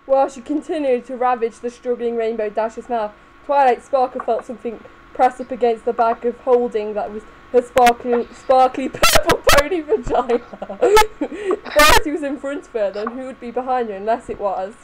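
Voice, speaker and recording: High-voiced woman reading a comic story. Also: animated, moderate pace, hardly any pauses, heavy background noise. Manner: giggly, often breaking into laughter. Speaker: reader. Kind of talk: comedic dramatic reading of fan fiction.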